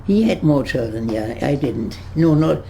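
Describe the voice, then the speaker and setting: Gravelly, halting woman over 100. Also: extremely low pitch, animated, warm tone, a little hiss or hum. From Germany. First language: German. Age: 100s. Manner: halting, many restarts and searches for words. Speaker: interviewee. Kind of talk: reminiscing in conversation. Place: her home.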